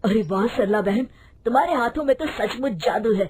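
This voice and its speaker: A woman's voice: on the high side, brisk, background noise, close to an everyday voice. A woman voicing a hearty, appreciative male customer in a storybook tale, with effusive admiration. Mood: delighted, admiring. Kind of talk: animated Hindi storybook voice acting.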